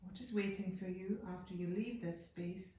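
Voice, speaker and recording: Mellow woman guiding meditation in echoey room. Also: medium pitch. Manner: calm, unhurried, soothing, with deliberate pauses. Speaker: yoga nidra meditation guide. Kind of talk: slow guided meditation narration. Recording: echoey room, distant from microphone.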